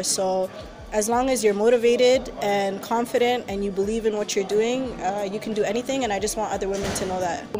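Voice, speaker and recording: A young woman from Canada, a skincare entrepreneur, speaking to camera outdoors in an upbeat, encouraging way. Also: medium pitch. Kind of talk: interview to camera. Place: outdoors in a garden. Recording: background music under voice. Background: music.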